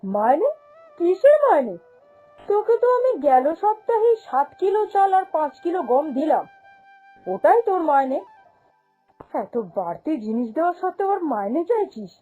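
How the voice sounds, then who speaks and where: A woman's voice: high-pitched, sing-song, normal speed, phone-quality sound, faint music behind. A woman voicing a greedy, domineering, haughty female shop boss in a cartoon, scolding and dismissive. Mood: angry, contemptuous. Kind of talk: cartoon fairy-tale voice acting.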